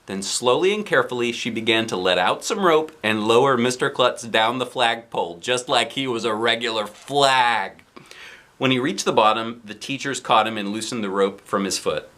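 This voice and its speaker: A man narrating a children's storybook aloud in an expressive, dramatic way. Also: medium pitch, normal speed. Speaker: storybook reader.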